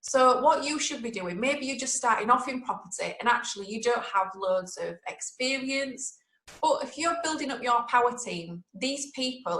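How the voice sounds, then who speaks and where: A woman's voice: fairly high pitch, a bit bright, roomy sound, low-quality recording. A woman with a Yorkshire accent hosting a webinar, talking in a chatty, direct and motivational way. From Yorkshire, England. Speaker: webinar host.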